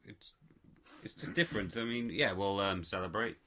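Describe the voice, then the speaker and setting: A man's voice: on the low side, normal speed, background noise. A man chatting casually on a podcast, rambling a little with fillers. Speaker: podcast co-host. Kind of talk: casual chat.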